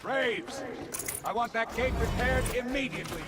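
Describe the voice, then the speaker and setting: A woman's voice: fairly high pitch, moderate pace, natural voice. A woman acting a commanding enemy soldier in a video game, barking out orders. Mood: angry command. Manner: shouting orders.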